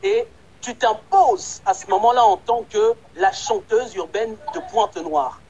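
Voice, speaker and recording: An interview host with a mid-pitched voice. The host keeps the chat casual, probing the guest and recapping each answer before moving on. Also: very animated, measured pace, darkish tone, husky, dull audio.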